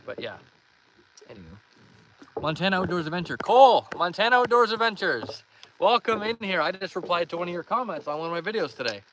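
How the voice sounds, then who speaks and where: A nineteen-year-old man from Northern Ontario with a Canadian accent, chatting in a relaxed, friendly way and reading viewer comments aloud on a live stream. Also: high voice, brisk, poor audio. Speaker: live-streaming fishing host. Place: outdoors on a lake in a canoe. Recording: outdoor stream with clear background noise. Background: water and boat noises.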